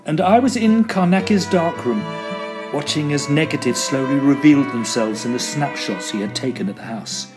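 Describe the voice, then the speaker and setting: A male actor plays an earnest Edwardian gentleman narrator from a ghost-story audio drama. His phrasing is formal and old-fashioned, built for suspense. His mid-pitched voice sits over a clear bed of music. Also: expressive, normal speed, close to an everyday voice. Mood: intrigued, grave.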